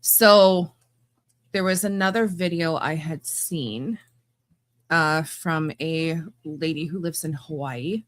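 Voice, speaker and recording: A woman with a notably low voice hosts a podcast, speaking bluntly and casually. She stumbles into restarts and laughs at her own asides. The sound is close and dry. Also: slow, no echo. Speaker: podcast host. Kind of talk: casual opinionated commentary.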